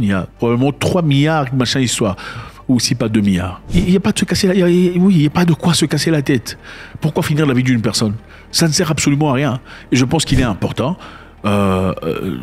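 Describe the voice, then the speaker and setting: A man giving casual spoken commentary, emphatic and sarcastic, who repeats words and swings between loud and quiet. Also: mid-range voice, breathy edge. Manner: sarcastic asides.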